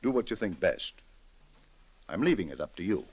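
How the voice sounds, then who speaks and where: An actor playing a grave, reflective middle-aged judge in an old-time radio drama, speaking in a measured, deliberate, dramatic way. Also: male voice, low-pitched, very animated, breathy edge, heavily acted. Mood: solemn, deliberate. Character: grave, reflective male judge with a troubled conscience.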